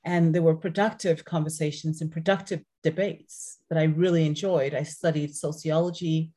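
Woman with a low voice, french first language, guest on a podcast, earnest and chatty. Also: fairly even intonation, moderate pace, dark tone. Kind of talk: casual interview conversation.

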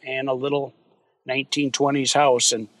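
A man from Minnesota talks as a podcast guest at a middle pitch. He speaks loosely, with lots of fillers and restarts. His voice sits close and dry on the mic. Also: moderate pace, dry recording. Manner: relaxed, rambling with many fillers. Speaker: guest, wildfire fighter. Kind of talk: casual interview chat.

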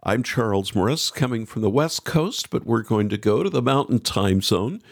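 An American man hosts a radio interview in a fairly low voice with a gravelly edge. His pitch moves around in an animated way as he presents and asks questions. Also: moderate pace, dry recording, clean studio sound.

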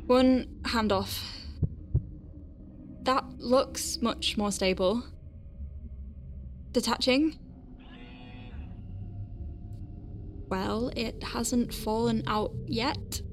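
High-voiced, nervy space medic. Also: female voice, extremely slow, long pauses, a little hiss or hum. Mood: nervous. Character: young female space medic Faye, flustered but awestruck, working inside star whale. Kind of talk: audio drama acting with first-person narration.

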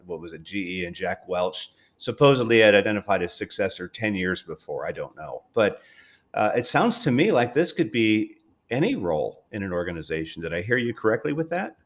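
Guy hosting an online interview, kinda low voice, informal and jokey. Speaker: interview host. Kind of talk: casual interview chat. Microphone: online call.

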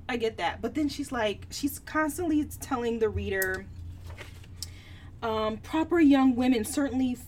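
A woman co-hosting a book podcast, talking casually in a candid, opinionated, colloquial way. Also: medium pitch. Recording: distant mic with background noise.

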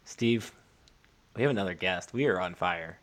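A man co-hosting a sports podcast, chatting informally and haltingly, often repeating and restarting his phrases. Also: low-pitched, expressive. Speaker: podcast co-host. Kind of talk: casual sports podcast chat.

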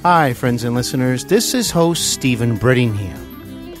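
A male podcast host delivers his lines earnestly and deliberately. His voice sits at a medium pitch, with light music in the recording. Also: animated. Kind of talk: podcast interview hosting and sign-off.

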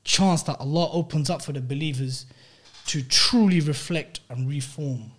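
A man's voice: fairly high pitch, moderate pace. A man with a London accent hosting a casual podcast, talking in slang and repeating phrases to drive his point home. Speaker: podcast host.

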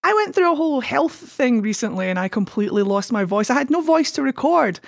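A man co-hosting a fan podcast in casual chat, excitable and tripping over his words, with a slightly scratchy voice. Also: very high voice, brisk, creaky. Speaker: podcast co-host.

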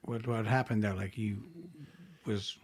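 A man hosting a podcast interview, giving short encouraging replies and asking gentle questions. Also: on the low side, fairly even intonation, a little slow, husky. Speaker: podcast host. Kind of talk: casual interview.

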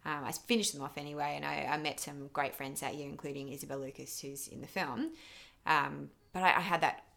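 A woman's voice: low-pitched, fairly even intonation, a little fast, creaky, sharp tone. A young woman with an Australian accent giving casual interview answers, candid and self-deprecating as she rambles through her thoughts. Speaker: interview guest, actor and filmmaker.

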